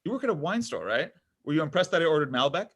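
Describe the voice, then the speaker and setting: A man's voice: on the high side, very animated, fast talker, fairly bright tone, husky, heavily acted. An actor at a table read playing a needy, pushy thirty-something man on a date, whiny, defensive and over-explaining. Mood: awkward, defensive. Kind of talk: table read of a comedy pilot.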